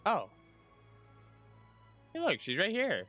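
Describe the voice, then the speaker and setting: A man's voice: sits high, wildly swooping pitch, takes it slowly, narrow, tinny audio, faint music behind. A man giving casual let's-play commentary as a streamer, informal and chatty. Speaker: streamer, gamer.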